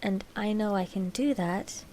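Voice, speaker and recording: A woman playing a doll-like vampire royal, sweet and scheming, speaking formally and deliberately in a scripted ASMR roleplay. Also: mid-range voice, a little mellow, a little hiss or hum, natural voice. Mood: coaxing, sweet.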